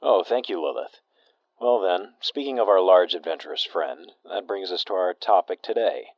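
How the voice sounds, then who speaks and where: A genial male talk radio host played by an actor in a post-apocalyptic audio drama. He is very low-pitched and dryly presenterly. The sound is close and a bit muffled. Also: lively intonation, moderate pace, no echo. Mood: welcoming.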